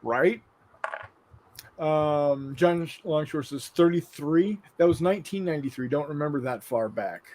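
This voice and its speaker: A man's voice: on the high side, unhurried, a little mellow, slight background noise. A man in his fifties chatting casually as a show host, blunt and free with swearing, reading out viewer comments. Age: 50s.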